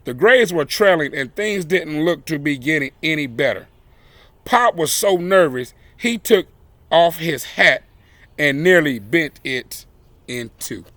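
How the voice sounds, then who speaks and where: Male storyteller for children's picture book: unhurried, expressive and dramatic, crisp bright tone, dry recording. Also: fairly high pitch, no room sound. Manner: dramatic, deliberate phrasing with exclamations acted out.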